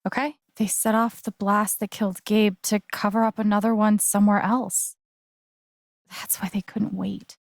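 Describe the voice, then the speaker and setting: Woman acting as earnest young woman, game heroine, unhurried pace, casual naturalistic delivery, caring, determined, dry close sound. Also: medium pitch, dry recording, natural voice. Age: young adult. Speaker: voice actress in a narrative video game.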